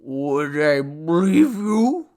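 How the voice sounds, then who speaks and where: A man voicing a tipsy, boastful old Babylonian idol god from a story, with a slurred, booming, folksy drawl. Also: sing-song, extremely slow, a little mellow, heavily acted. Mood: grumpy.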